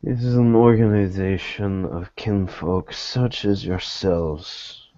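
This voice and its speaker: A man playing a weary, guarded private investigator in a tabletop roleplay, speaking in halting, deliberate, formal phrases. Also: low voice, steady tone, mellow, no room sound. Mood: grave, measured. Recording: dull lo-fi recording.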